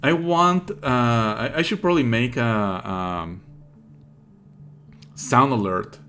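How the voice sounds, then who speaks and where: A live streamer commenting on a game with very animated, swooping intonation. The delivery is blunt and opinionated, with an exasperated edge. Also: medium pitch, slow pace.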